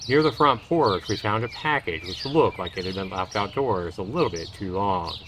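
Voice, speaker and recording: A man hosting a livestream, reading a visual novel aloud in a measured storytelling way. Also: fairly low pitch, expressive, background noise. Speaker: livestream host. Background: loud music and birdsong.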